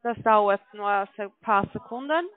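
A woman speaking as a non-native call centre agent on the phone, halting and insistent, in broken sentences. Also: mid-range voice. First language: not German. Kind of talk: unscripted phone conversation. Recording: muffled narrow phone line.

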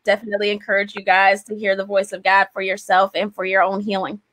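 A woman's voice: medium pitch, monotone, fast talker, fairly bright tone. A woman in her forties talking casually and encouragingly as a guest on a video call, into a desk microphone. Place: small indoor room on a video call. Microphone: large desk microphone, close.

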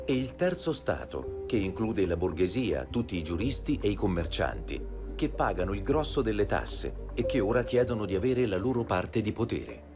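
Low-voiced male documentary narrator. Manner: measured, even delivery. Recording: voice-over with music underneath.

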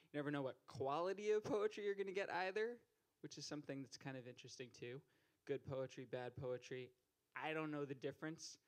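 A young man hosting a poetry open mic on a small stage, teasing the crowd with stand-up style banter into a handheld mic. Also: mid-range voice. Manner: joking, teasing, rising to loud calls to the stage.

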